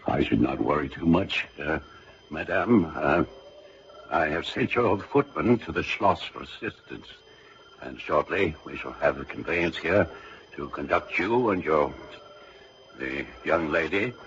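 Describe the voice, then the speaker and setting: Radio drama: courtly, kindly older father, deep register, hesitant and musing, dull sound with faint noise. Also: lively intonation, measured pace, a bit bright, exaggerated voice. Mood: courteous, reassuring. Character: kindly, courtly, self-deprecating aging father. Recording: muffled vintage radio recording, faint noise.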